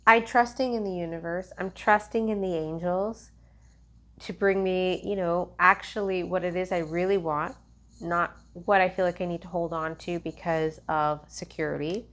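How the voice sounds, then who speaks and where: A woman's voice: on the low side, steady tone, moderate pace. Rambling woman reading tarot cards. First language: English. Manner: meandering, many fillers and restarts. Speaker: tarot reader. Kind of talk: casual spiritual tarot reading.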